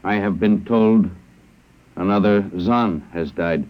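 An actor playing a logical, emotionless alien invader who speaks in stilted, formal phrases in a classic radio drama. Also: male voice, fairly low pitch, slow, heavy background noise. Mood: flat, resigned. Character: cold, logical alien invader, an immortal council messenger. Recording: muffled vintage radio recording.

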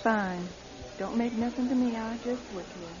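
An actress playing a curt, indifferent small-town diner waitress with folksy speech in a radio drama. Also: female voice, fairly high pitch, normal speed, over music, close to an everyday voice. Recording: slightly dull radio recording.

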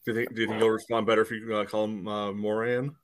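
A man with a fairly low voice talks through match action as a podcast panelist. He is casual and halting, often padding his phrases with fillers. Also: flat delivery, a crowd in the background. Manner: halting, peppered with 'you know'.

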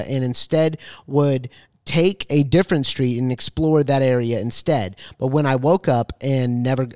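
A man co-hosting a casual podcast, reading listener messages aloud in a playful, expressive way. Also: mid-range voice, no echo, studio quality. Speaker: podcast co-host.

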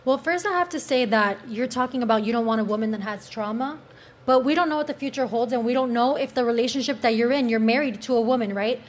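A woman with a Cuban accent and a fairly high voice speaks as a podcast panelist. She argues earnestly and draws on her own life to make her points. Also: fast talker. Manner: earnest, persuasive, speaks from personal experience. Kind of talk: casual panel discussion.

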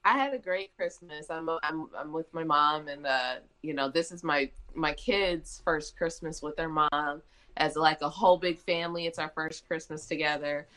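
Low-voiced young woman from Michigan. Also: moderate pace, sharp tone, poor audio. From Michigan, USA. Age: 20s. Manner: chatty, frequent restarts and fillers. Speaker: guest. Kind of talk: casual conversation.

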